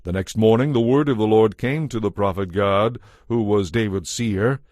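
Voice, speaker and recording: A man's voice: fairly low pitch, moderate pace, a little mellow, no room sound, clean studio sound. A man giving a scripted, dramatized narration of scripture as the narrator.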